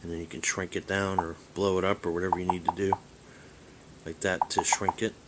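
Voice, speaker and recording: A man giving a casual hands-on demonstration as a hobbyist vlogger, halting and rambling as he thinks aloud. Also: low voice, little pitch movement, heavy background noise.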